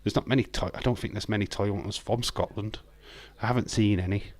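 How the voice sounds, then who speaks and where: A man's voice: fairly low pitch, fairly even intonation, brisk, darkish tone. Chatty man with broad Yorkshire accent. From Yorkshire, England. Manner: chatty, reads viewer comments aloud, swears, many ums. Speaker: livestream host. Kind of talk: casual live watch-along chat.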